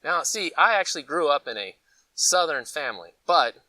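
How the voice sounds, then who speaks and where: Animated American man with a bright, forceful voice. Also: a little high, normal speed, no echo, recorded from a distance, low-quality recording. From Colorado, United States. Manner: animated, folksy, conversational storytelling with acted-out asides. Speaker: host of a preparedness gear channel. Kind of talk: casual rambling vlog chat.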